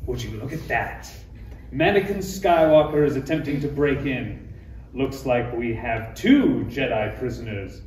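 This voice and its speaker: A man's voice: medium pitch, a little slow, darkish tone, natural voice. A man playing a suave, condescending villainous count with pompous phrasing in a parody table read. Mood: coolly mocking. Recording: echoey room, distant mic, faint noise.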